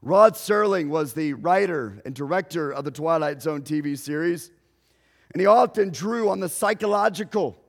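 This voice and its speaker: A male pastor, telling a story in a sermon slowly and deliberately, raising his voice at dramatic moments. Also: fairly high pitch, animated, vocal fry. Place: church sanctuary. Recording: long hall reverb.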